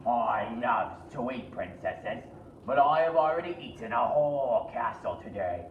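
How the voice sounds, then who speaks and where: A reader voicing a boastful male dragon with slow, drawn-out, pompous speech, reading a storybook aloud. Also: medium pitch, lively intonation, slight background noise. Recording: roomy, distant, dull-sounding.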